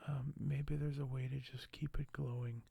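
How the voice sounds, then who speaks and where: A soft-spoken man hosting an ASMR unboxing, talking in a hushed, halting way that often nears a whisper. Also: mid-range voice, level tone, creaky, husky, no echo. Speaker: ASMR video host.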